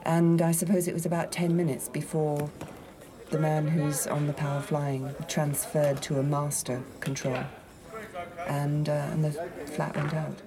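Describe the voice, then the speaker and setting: A woman, a deputy stage manager, calling cues backstage and recalling a night's mishap in a brisk, practical way. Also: deep voice, little pitch movement, crowd noise. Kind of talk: backstage cue calls and interview recollection.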